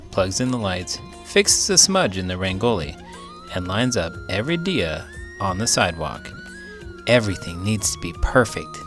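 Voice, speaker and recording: Animated man reading a storybook aloud. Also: on the low side. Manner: expressive, dramatic delivery with big emotions. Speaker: elementary school teacher reading children's books aloud.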